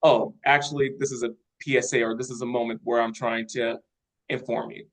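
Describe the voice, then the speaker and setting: A man giving spoken critique in a measured, constructive way, organized point by point, as a participant in a script workshop. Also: mid-range voice, level tone, fairly bright tone, rough recording. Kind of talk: spoken critique at a table read.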